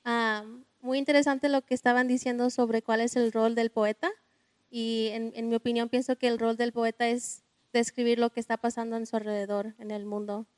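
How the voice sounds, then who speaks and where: Hesitant Mexican-American woman, flat tone. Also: fairly high pitch, moderate pace, clean tone, no echo. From United States. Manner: hesitant, searching for words. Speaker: audience member. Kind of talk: audience comment at a book talk.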